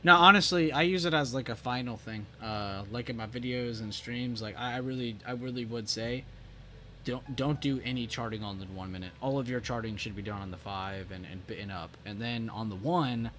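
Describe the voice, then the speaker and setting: A man hosting a live trading stream, animated and joking, with plenty of filler words. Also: mid-range voice, moderate pace, slight background noise. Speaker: stream host and trading teacher. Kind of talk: casual live-stream chat.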